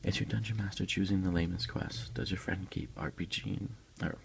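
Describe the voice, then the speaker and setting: A man speaking as an ASMR host, soft-spoken and unhurried, in a casual style. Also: sits low, vocal fry, a little hiss or hum. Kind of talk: whispered ASMR unboxing, reading aloud and chatting.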